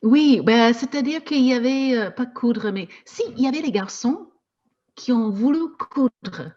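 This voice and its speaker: A woman teaching French as a second language, talking casually with slow, hesitant speech and frequent restarts. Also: fairly high pitch, mellow, muffled recording. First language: not French. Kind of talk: casual discussion in a video meeting.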